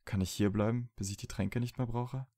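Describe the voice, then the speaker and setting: A man's voice: medium pitch, steady tone, a little fast, warm tone, no room sound, studio quality, natural voice. A man acting a stubborn, wounded, emotionally open young wizard in a fan audio drama, speaking earnestly and colloquially. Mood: weary, worried.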